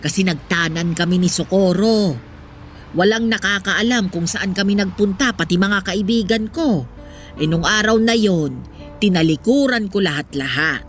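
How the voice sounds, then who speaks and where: A woman playing an old, poor Filipino mother in a radio drama, wistful and gently wise, speaking in an earnest, reflective way. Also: mid-range voice, moderate pace, close to an everyday voice. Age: older. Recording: music behind the voice.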